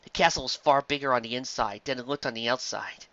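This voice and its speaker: A man's voice: mid-range voice, quick pace, pushed and bright, no echo, highs cut off. A man reading fiction aloud as a dramatized narration, recorded close in a dry space. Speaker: story reader.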